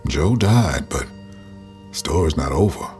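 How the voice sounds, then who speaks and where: Knowing storyteller, extremely deep voice, audio drama, music underneath. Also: male voice, lively intonation, slow, a little mellow, heavily acted. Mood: knowing, intriguing.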